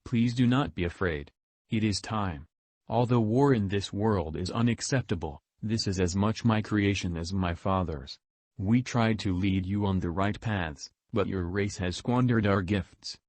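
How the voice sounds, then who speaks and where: A man's voice: fairly low pitch, measured pace, darkish tone, no echo. A man playing a solemn divine son who pronounces judgement on mankind in a grave, formal, archaic manner. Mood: solemn, stern. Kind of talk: scripted apocalyptic proclamation.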